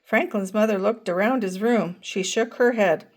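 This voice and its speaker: An older woman reading a picture book aloud to children, gently asking them questions along the way. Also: medium pitch, moderate pace. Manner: gentle, asks listeners questions. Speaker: storyteller grandmother.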